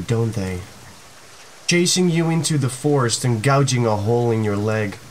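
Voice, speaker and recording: A man's voice: medium pitch, slight background noise, voice pushed down, lightly acted, poor audio. A man voicing a menacing video game mother who is doting and cruel by turns, coddling and then venomous.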